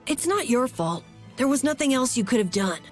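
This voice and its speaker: Lady doing young boy in a game, high n boyish, swings all over, eager, flustered easily. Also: animated, a little fast, soft background music, lightly acted. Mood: earnest. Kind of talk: English game dub voice acting.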